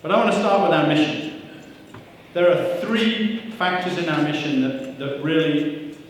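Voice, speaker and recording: A man's voice: on the high side, measured pace, slight background noise. A man giving a welcome speech as CEO at a breakfast event, upbeat and joking, with frequent "uh" fillers, on a handheld microphone. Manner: upbeat, self-deprecating jokes, frequent "uh" fillers. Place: church hall with audience. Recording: echoey hall, mic from a distance.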